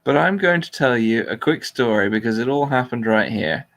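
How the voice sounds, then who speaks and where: A man's voice: mid-range voice, expressive, normal speed, creaky, a bit bright. A man hosting a casual talk, chatting and reading text aloud in a dry, informal way. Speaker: talk show host reading out a transcript. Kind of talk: casual chat and deliberately flat reading aloud.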